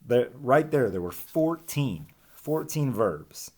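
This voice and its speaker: A man with a mid-range voice teaches young children through a picture-book read-aloud. His pace is slow and deliberate. He delivers rhymes expressively and speaks to the kids in an informal, chatty way. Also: medium pitch, sing-song, darkish tone. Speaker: elementary school teacher reading aloud.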